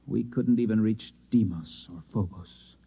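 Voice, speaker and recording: An actor in an old-time radio drama playing a frightened man who insists he is a Martian, agitated, halting and pleading. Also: on the low side, creaky, dark tone, husky, heavily acted. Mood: grave, haunted. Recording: dull, lo-fi old radio recording.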